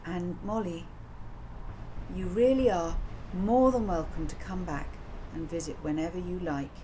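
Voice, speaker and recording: A woman's voice: lowish voice, a little slow, warm tone, natural voice, low-quality recording. A woman storyteller voicing a kind, reassuring female librarian from a children's storybook. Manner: kindly, reassuring. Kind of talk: storybook character voice. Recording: clear background noise.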